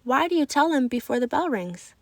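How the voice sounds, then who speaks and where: A woman voicing a curious, eager schoolchild who loves make-believe, in an expressive storybook read-aloud. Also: high-pitched, fairly quick, no room sound. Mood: curious, hopeful.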